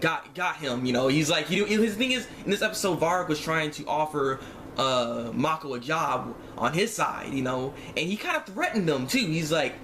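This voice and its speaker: High-pitched, rambling man reviewing casually. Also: expressive, moderate pace, a bit bright, a little hiss or hum. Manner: exasperated, rambling, emphatic repetition; informal slangy speech. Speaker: online reviewer. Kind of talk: casual animated series episode review.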